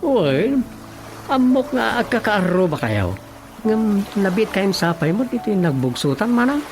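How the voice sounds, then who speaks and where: A man's voice: sits high, very animated, measured pace, over music, exaggerated voice. A man with a Filipino accent playing a chatty, teasing village official, the comic sidekick in a radio drama. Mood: curious. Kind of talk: comedic radio drama acting.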